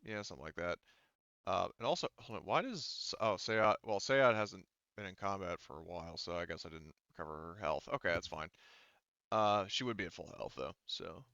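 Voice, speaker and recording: A man with a fairly low, clean voice acts as game master at a tabletop session. He improvises descriptions conversationally, stopping and rewording as he goes. The sound is close and dry, a little dull. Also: animated, creaky, sharp tone, dry recording. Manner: halting, conversational, often rephrasing mid-thought. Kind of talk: improvised tabletop game narration and casual rules talk.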